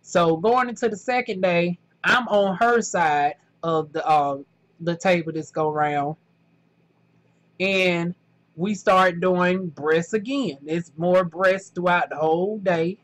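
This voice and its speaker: A man's voice: sits high, slow, fairly bright tone. A man with a strong Southern US accent, chatting casually to camera in a rambling, storytelling way, tossing in "honey" and "y'all.". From southern United States. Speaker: vlogger.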